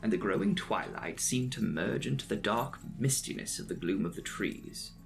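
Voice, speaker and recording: A man's voice: lowish voice, lively intonation, normal speed, vocal fry. A man giving a dramatic, theatrical audiobook reading of a classic gothic novel, telling it as a storyteller. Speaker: audiobook narrator. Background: background music.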